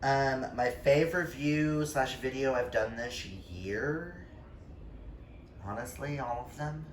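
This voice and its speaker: A man's voice: mid-range voice, slow. A man talking casually and expressively to viewers in a book vlog, informal and given to emphatic exclamations. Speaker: book YouTuber. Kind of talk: casual chatty vlog talk to camera. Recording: roomy echo, distant mic, faint noise.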